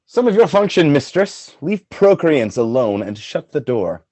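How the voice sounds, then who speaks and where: An actor playing a jealous, tormented lord and husband in a Shakespearean radio play, theatrical and volatile, with a husky voice. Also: male voice, a little high, big pitch swings, unhurried, dry recording. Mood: cold, bitter. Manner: theatrical, volatile, swinging between quiet and fury.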